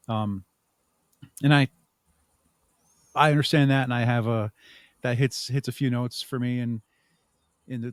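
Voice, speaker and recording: A man's voice: medium pitch, no room sound. A man with a mid-range voice gives thoughtful answers as a guest in a casual interview. He explains his points in an unscripted way, and the recording is dry and close. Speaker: podcast guest and audio drama creator.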